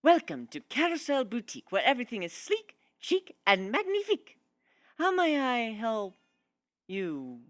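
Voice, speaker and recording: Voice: medium pitch, wildly swooping pitch, unhurried, no echo, pitched above the performer's own voice. A narrator voicing a refined, theatrical female unicorn shopkeeper with a genteel, singsong manner for a storybook reading. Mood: gushing welcome.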